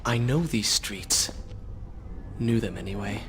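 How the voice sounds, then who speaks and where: An actor playing a troubled, earnest young prince in a dramatic video game. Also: male voice, lowish voice, slow, noisy background. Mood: brooding, uneasy. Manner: earnest, brooding. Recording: loud music behind the voice.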